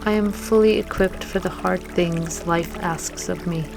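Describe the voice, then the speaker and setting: A woman leading a scripted guided meditation with affirmations in a gentle, soothing manner, leaving long pauses between phrases. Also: on the low side, steady tone, gravelly. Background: music and trickling water.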